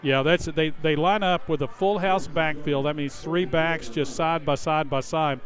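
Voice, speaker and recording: A man's voice: fairly high pitch, steady tone, moderate pace. A man giving live analytical commentary on a football game, explaining plays in a conversational way. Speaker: color commentator.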